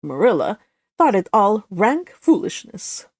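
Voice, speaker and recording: A woman's voice: medium pitch, big pitch swings, a little slow, a little mellow, dry recording, clean studio sound. A woman storyteller narrating a novel aloud in a read-along style.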